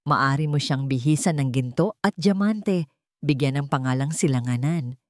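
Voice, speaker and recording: A woman voicing a defiant, tearful mother in a story, speaking firmly and quietly with a Filipino accent. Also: sits low, lively intonation, moderate pace, no echo, studio quality. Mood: quiet defiance. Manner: firm, quiet, unwavering.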